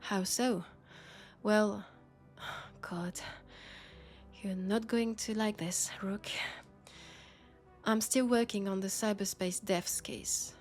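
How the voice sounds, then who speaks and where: Woman playing a weary policewoman, French accent, slow hesitant confiding delivery, medium pitch, intimate sci-fi audio drama. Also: fairly even intonation, a little mellow, soft background music, natural voice. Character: weary, guarded young policewoman confiding in her housemate.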